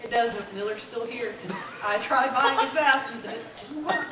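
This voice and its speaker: A woman playing an arrogant, callous rich anime businesswoman in live panel banter, with a deadpan, entitled manner. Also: a little high, normal speed, close to an everyday voice. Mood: smug. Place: convention panel room, indoors. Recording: echoey, dull, distant, crowd noise.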